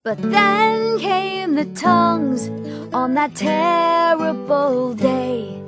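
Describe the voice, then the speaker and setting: Young female bard, very high singing voice, heroic fantasy ballads over prominent plucked strings. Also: animated, drawn-out words, exaggerated voice. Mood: stirring, mournful. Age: young adult. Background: plucked guitar-like string accompaniment.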